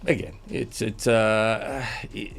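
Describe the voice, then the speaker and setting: A man's voice: fairly low pitch, steady tone, vocal fry, soft background music. A middle-aged Italian man with an Italian accent, whose first language is Italian, speaks as a guest in an interview. He talks with good humor and pokes fun at himself, often restarting his sentences. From Italy. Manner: good-humored, self-deprecating, halting with restarts. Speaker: airline general manager, guest. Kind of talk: interview chat.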